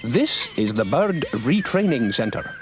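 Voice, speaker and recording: A man voicing a brisk, officious male trainer of birds in a children's story, chatty and schoolmasterly. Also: medium pitch, sing-song, noisy background. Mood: explaining. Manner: chatty, pompous, encouraging schoolmaster.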